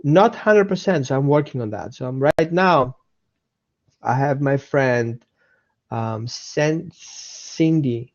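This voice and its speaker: A man's voice: medium pitch, animated, slow, darkish tone, muffled recording. A man with an Austrian accent hosting a casual live dog-training webinar, chatting with viewers, repeating himself and greeting people by name.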